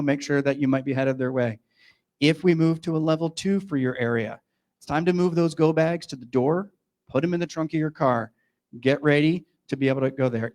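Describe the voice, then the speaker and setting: A man's voice: on the high side, darkish tone. A man briefing residents at a community meeting on evacuation levels, plain-spoken and instructive. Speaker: emergency management official.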